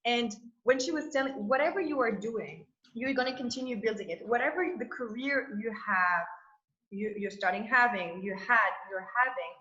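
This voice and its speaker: A woman on a webinar panel giving an informal motivational talk, animated and blunt, peppering her sentences with "like". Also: a little high, moderate pace. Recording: echoey room, dull lo-fi sound.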